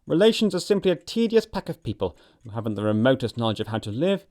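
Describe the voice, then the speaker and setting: An actor playing a witty, flippant young upper-class English dandy with an arch, playful delivery, in an audio production of a stage comedy. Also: male voice, mid-range voice, sing-song, fast, a little mellow, lightly acted. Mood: amused, flippant.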